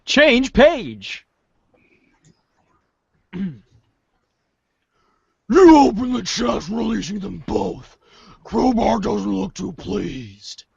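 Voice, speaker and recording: A woman's voice: mid-range voice, lively intonation, drawn-out words, gravelly, pauses often, a little mellow, husky, no echo, muffled recording. A woman reading comic narration aloud and calling out page changes for a podcast.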